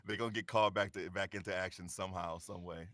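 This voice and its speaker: A man's voice: low-pitched, fairly even intonation, fast talker, heavy background noise. A man chatting casually as a voice actor on a panel, jokingly acting out imagined dialogue. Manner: jokey, acts out imagined dialogue. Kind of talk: casual after-show chat.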